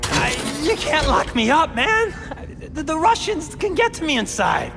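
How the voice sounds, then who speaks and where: An actor playing a nervous, stammering gang boss in a video game, boastful one moment and panicky the next. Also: male voice, very high voice, big pitch swings, unhurried, vocal fry, full character voice. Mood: scared. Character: jittery male gang boss with a power suit. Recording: game audio with reverb and music.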